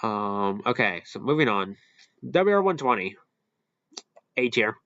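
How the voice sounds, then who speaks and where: A man hosting a casual, unscripted ranking commentary, halting and rambling as he corrects himself along the way. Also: on the low side, sing-song, a bit bright, no room sound, dull audio. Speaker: video host ranking products.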